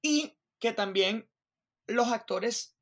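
A woman from Venezuela with a Venezuelan accent, talking as a guest in an interview in an animated way, restarting sentences and checking in with the listener. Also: on the high side, very slow. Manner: animated, restarts, keeps asking "me entiendes". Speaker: Broadway performer, guest. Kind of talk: interview conversation.

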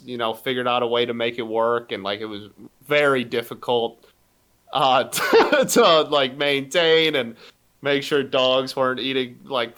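A man's voice: mid-range voice, fairly bright tone, clear, resonant tone. A man in his early twenties co-hosting a podcast, talking casually with many restarts and fillers. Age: 20s. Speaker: podcast co-host. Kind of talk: casual chat.

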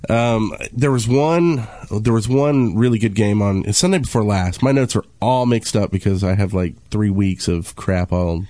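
A man's voice: lowish voice, moderate pace, hardly any pauses, warm tone, no room sound. A man co-hosting a gaming podcast, telling stories in a casual, rambling way. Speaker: podcast co-host.

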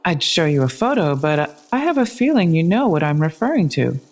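A woman's voice: deep voice, sing-song, brisk, darkish tone, heavily acted. A woman playing a persistent, sardonic police detective in an audio drama, questioning in a pointed, pressing way. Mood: sardonic, probing.

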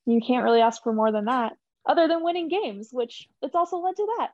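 A woman's voice: sits high, lively intonation, brisk, darkish tone, dry recording, muffled recording. A young woman speaking as a podcast guest from a team's communications staff, chatting in an upbeat, earnest way. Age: young adult. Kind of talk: casual interview chat.

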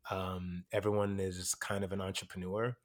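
A man born in Jamaica, an actor and teacher, talking over his experiences in a relaxed interview, with frequent fillers and restarts. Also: deep voice, moderate pace. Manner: reflective, many fillers and restarts. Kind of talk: casual interview conversation.

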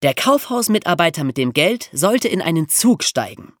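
Animated storyteller, very dry close recording. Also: mid-range voice, normal speed, dry recording. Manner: animated, colloquial, rhetorical questions. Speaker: podcast host telling true crime stories. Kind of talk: conversational storytelling narration.

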